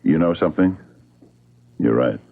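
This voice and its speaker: A deep-voiced, menacing villain in an old radio drama, mock-polite and taunting. His gravelly delivery swoops widely through a narrow, phone-like old broadcast sound. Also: animated, normal speed. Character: menacing, mocking man lording it over his enslaved friend.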